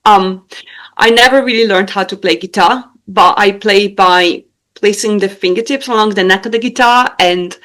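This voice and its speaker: イタリア訛りの女性シンガーソングライター. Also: female voice, mid-range voice, normal speed, fairly bright tone. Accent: Italian. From Italy. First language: Italian. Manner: laughs easily, many fillers and hesitations. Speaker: singer-songwriter guest. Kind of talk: casual interview chat.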